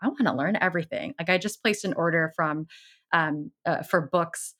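A middle-aged woman hosting a podcast, speaking in a thoughtful, earnest, measured way. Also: lowish voice, fairly bright tone. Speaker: podcast host. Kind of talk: casual interview chat.

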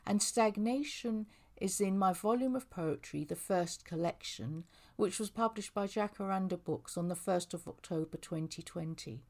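A woman's voice: a little high, a bit bright. A woman poet reading her own work aloud in emphatic, dramatic declarative lines.

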